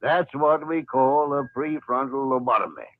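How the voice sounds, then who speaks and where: A man's voice: mid-range voice, moderate pace, dry recording, lightly acted. Man acting a blustery old radio-drama doctor, muffled vintage sound. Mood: grave explaining. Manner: blustery, brusque, sardonic. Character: gruff, blustery older male doctor, crusty mentor. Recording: old 1950s radio broadcast, muffled narrow band.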